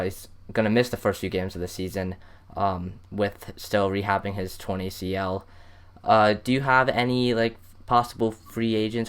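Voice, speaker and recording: Smooth, lowish-voiced American male podcast host. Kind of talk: casual sports interview.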